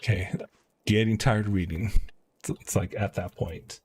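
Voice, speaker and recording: A man live-streaming a video game, chatting casually and reading quest text aloud in an informal, halting way. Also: fairly low pitch, steady tone, a little mellow, faint music behind.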